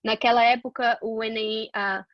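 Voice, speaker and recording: A woman's voice: a little high, flat delivery, moderate pace, no echo, muffled recording. A young woman from Portugal telling her own career story in a casual, chatty way, with many fillers and restarts. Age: young adult. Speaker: guest scientist sharing her career path.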